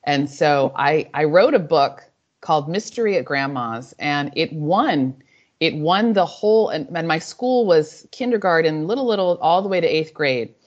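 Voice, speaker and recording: A woman in her forties, a native Spanish speaker, telling a guest's story reflectively and warmly, often restarting her sentences. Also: deep voice, animated, moderate pace. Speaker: author and guest. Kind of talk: interview answers. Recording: slightly dull lo-fi audio.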